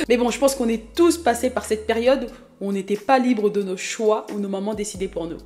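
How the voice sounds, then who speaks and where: A woman's voice: mid-range voice, moderate pace, a little mellow. A woman in her thirties from Haiti, chatting casually and self-mockingly to her viewers as she introduces herself. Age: 30s. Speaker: YouTube vlogger.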